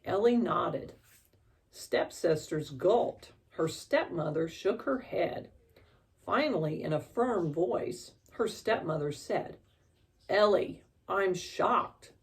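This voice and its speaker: Unhurried, creaky-voiced woman storyteller. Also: lowish voice, expressive, a little mellow. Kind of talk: expressive children's storybook read-aloud.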